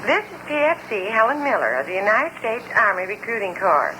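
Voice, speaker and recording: A woman's voice: medium pitch, sing-song, a little fast. A woman reading announcements as a radio announcer on a vintage recording. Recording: noisy old radio recording.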